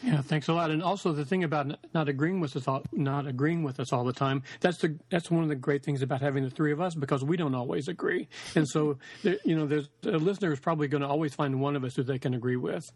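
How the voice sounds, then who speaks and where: A man co-hosting a podcast in casual chat, his voice raspy and weak tonight. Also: a little high, fast talker, hardly any pauses, a little mellow, no echo. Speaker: podcast co-host.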